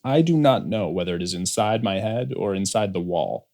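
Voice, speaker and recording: A solemn, formal figure played by a man in an audiobook drama. The voice is extremely low and speaks with stiff gravity. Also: animated, moderate pace, a little mellow. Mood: troubled, formal. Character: solemn, slow-speaking voices heard through the Machine. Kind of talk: full-cast audiobook acting.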